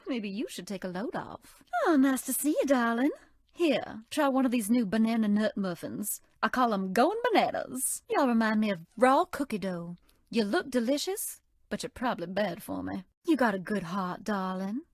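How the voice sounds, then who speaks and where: Sultry Southern belle baker. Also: female voice, fairly high pitch, animated, normal speed, no room sound. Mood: flirtatious. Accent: American Southern. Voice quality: sultry drawl, coy and teasing. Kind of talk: video game character voice lines.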